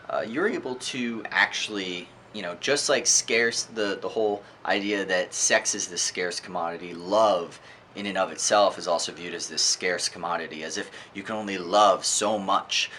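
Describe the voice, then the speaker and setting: A man giving a casual, opinionated book review monologue in an earnest, emphatic way, often halting and posing rhetorical questions. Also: lowish voice, sharp tone, a little hiss or hum.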